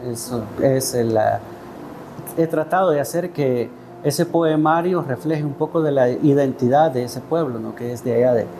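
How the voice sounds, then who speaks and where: Salvadoran male writer, soft mellow tone, reflective and halting interview answers, faint ambient noise. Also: medium pitch. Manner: thoughtful, hesitant, often seeking agreement with tag questions. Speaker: interview guest, poet and writer.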